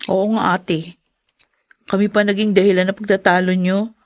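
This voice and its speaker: Mid-pitched polite younger sister played by a woman. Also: flat delivery, moderate pace, darkish tone, lightly acted. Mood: apologetic, curious. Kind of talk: radio drama acting.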